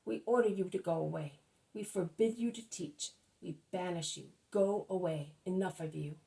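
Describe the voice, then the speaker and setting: Woman reading as arrogant officials, furious, commanding, loud, gravelly, storybook. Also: on the low side, moderate pace, rough recording. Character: arrogant powerful male Judean officials, furious.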